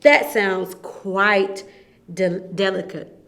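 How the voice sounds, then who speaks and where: A woman's voice: mid-range voice, fairly even intonation, extremely slow, fairly bright tone. A woman voicing a hungry, polite male hot dog vendor in a children's storybook, drawing out and savouring words. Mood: hungry, admiring.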